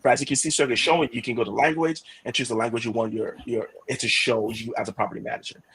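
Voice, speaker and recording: A man talks quickly through a software demo, with a slightly husky voice. He keeps checking in with his listeners, adding "right?" between points, and thinks aloud with the odd "um". His first languages include Yoruba. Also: mid-range voice, steady tone, poor audio. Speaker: product presenter. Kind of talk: product demo webinar talk.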